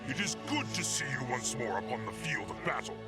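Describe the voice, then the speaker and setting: An actor playing a valiant, battle-hungry alien warrior in a video game, with a bold, formal martial manner. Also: male voice, mid-range voice, big pitch swings, a little fast, natural voice. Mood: noble, regretful. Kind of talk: scripted sci-fi video game dialogue. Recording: very loud game music behind.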